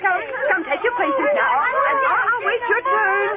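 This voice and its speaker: A plain-spoken working-class mother in an old radio drama, her voice pitched high. The recording is narrow and tinny, with faint noise. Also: unhurried, a crowd in the background. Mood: motherly, bustling. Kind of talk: 1930s radio play acting. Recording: tinny, faintly noisy vintage radio broadcast.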